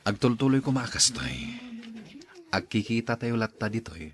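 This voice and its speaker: A man's voice: mid-range voice, expressive, moderate pace, natural voice. A man playing an easygoing young man in an audio drama, conversational and mildly defensive. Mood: casual defensive.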